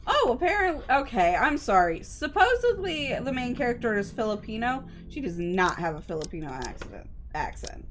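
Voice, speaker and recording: A woman's voice: fairly high pitch, big pitch swings, fairly quick, hardly any pauses, slight background noise. A woman livestreamer chatting casually with her co-host and viewers, explaining things politely. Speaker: game livestreamer. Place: indoor streaming setup at a computer.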